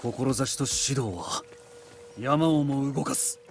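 A man's voice: mid-range voice, slow, creaky, slight background noise. An actor playing a duty-bound samurai swordsman in a game, speaking in terse, formal, determined lines. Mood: grimly determined. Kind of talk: dramatic cinematic game acting in archaic samurai speech.

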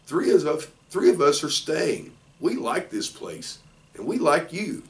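Man voicing a tiny eager girl spider, raised pitch. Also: sing-song, unhurried. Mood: eager, friendly. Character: tiny friendly young female spiders, eager newborns. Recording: distant microphone in a room.

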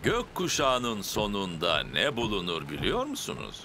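A man voicing a jolly, excitable father pig in a children's cartoon dub, huffing and exclaiming theatrically. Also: on the low side, unhurried, heavy background noise. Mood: cheerful.

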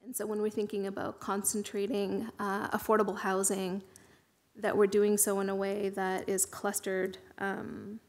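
A woman councillor asking a long, halting question in a council meeting, her voice a little worn. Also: medium pitch, completely flat delivery. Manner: measured, halting with fillers. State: losing her voice. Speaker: city councillor. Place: council chamber.

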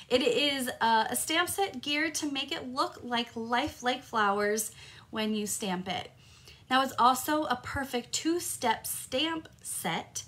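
A woman in her thirties hosting a live crafting tutorial from her home craft room, upbeat and a little hoarse, greeting viewers by name. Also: sits high, pushed and bright. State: slightly hoarse, recovering from lost voice. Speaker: crafting demonstrator and host.

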